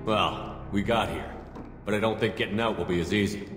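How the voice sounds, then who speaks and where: A man's voice: fairly low pitch, a little fast, over music, natural voice. An actor playing a level-headed male military commander in a video game cutscene, speaking in a matter-of-fact, dry way. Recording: reverberant game mix with score.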